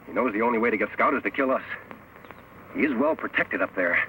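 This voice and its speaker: An actor playing a steadfast, commanding western hero in an old radio drama, speaking with earnest, deliberate authority. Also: male voice, fairly low pitch, wildly swooping pitch, gravelly, heavily acted. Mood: commanding, urgent. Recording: noisy old radio recording.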